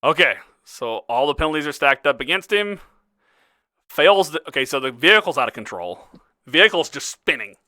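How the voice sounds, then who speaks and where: A man's voice: a little high, very animated, moderate pace, pushed and bright, dry recording, studio quality. A man running a tabletop game as game master, swearing casually and laughing often.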